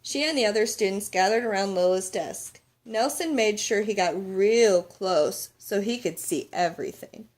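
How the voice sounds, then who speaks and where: A woman narrating a children's picture book aloud in an expressive read-aloud style. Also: mid-range voice, normal speed, hardly any pauses. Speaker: storybook reader.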